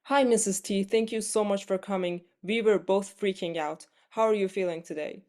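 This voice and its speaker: A woman plays an anxious mother in a stage play reading. She is fretful and quick to blame herself. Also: medium pitch, a little mellow, natural voice. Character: anxious, guilt-ridden mother of a six-year-old prince.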